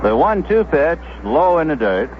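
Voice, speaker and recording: Animated man calling baseball on noisy old radio. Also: a little high, normal speed. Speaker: baseball play-by-play announcer. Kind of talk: live radio play-by-play commentary. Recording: muffled, noisy 1970s AM radio broadcast.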